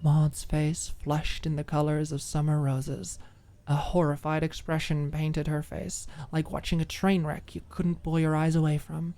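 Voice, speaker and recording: A woman reading a scripted story as an audiobook narrator, in an expressive ASMR storytelling style. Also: low-pitched, unhurried.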